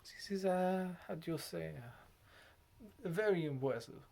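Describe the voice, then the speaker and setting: A man's voice: sits high, lively intonation, slow, gravelly, darkish tone, a little hiss or hum. A man vlogging alone, rambling and laughing as he slips in and out of put-on voices and accents. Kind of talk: rambling solo vlog.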